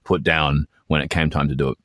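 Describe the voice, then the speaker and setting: Casting: male podcast co-host, very low voice, dry close sound, conversational, repeats himself. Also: fairly even intonation, fairly quick, a bit bright, no room sound. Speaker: podcast co-host and sketch creator. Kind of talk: casual chat.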